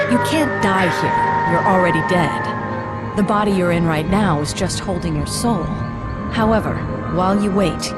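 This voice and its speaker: A woman playing a composed, knowing guide to the afterlife in a video game cutscene, speaking in a measured, explanatory way. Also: fairly low pitch. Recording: loud music behind the voice. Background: loud music.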